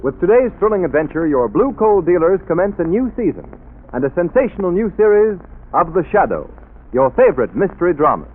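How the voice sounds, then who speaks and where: A man announcing a 1930s radio drama in a booming, declamatory style. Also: very animated, fairly quick, a little hiss or hum. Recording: tinny old radio broadcast.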